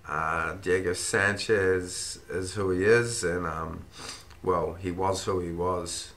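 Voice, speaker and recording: A man with a croaky, run-down voice, rambling casually through fight picks with plenty of swearing. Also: deep voice, steady tone, takes it slowly, a bit bright. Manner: rambling, swears freely, thinks aloud with ums. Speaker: fight-pick vlogger. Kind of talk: casual fight predictions monologue.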